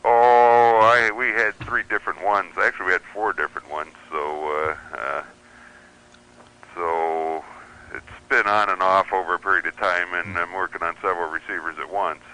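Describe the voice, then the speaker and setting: Deep-voiced hesitant man on a radio show. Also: fairly even intonation, moderate pace, sharp tone, smooth, poor audio. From United States. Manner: hesitant, many uh fillers and restarts. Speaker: guest radio receiver reviewer. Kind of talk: casual interview chat.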